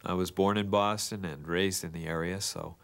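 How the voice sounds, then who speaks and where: Deep-voiced New man. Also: steady tone, normal speed, vocal fry. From New England. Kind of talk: self-introduction.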